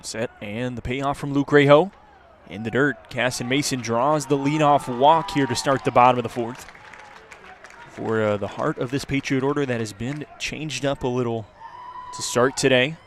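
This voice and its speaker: A man's voice: mid-range voice, fairly even intonation, moderate pace. A man calling a baseball game live as a radio play-by-play announcer. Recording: outdoor ballpark crowd and ambient noise. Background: ballpark crowd.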